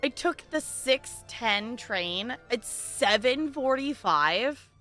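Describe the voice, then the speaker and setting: Female game streamer: bright, slightly high voice, chatty off-the-cuff commentary for viewers, light music behind. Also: lively intonation, slow. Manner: chatty, informal, talking to viewers. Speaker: game livestreamer. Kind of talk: casual live gameplay commentary and chat.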